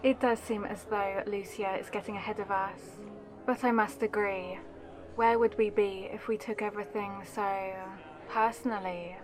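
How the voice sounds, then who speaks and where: A woman's voice: medium pitch, fairly even intonation, moderate pace, close to an everyday voice. A woman playing an aristocratic elder vampire in an ASMR audio roleplay, composed and commanding, with formal, condescending poise. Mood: amused, poised.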